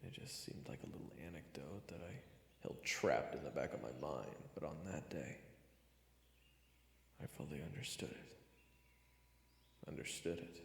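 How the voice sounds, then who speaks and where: A man narrating a fantasy tale in a dramatic, measured and theatrical way. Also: very deep voice, gravelly. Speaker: storyteller and narrator of an audio roleplay series. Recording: roomy sound with long reverb. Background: prominent music.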